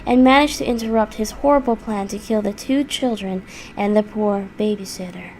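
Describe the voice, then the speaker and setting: A woman's voice: mid-range voice, moderate pace, darkish tone. A woman reading a scripted horror story as its narrator. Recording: clearly audible background noise.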